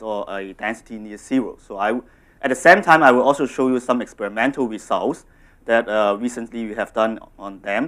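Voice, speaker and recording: A man in his thirties with a Hong Kong accent, giving a scientific talk in a conference room, steady and explanatory. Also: medium pitch. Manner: steady, explanatory, some fillers. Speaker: lecturer.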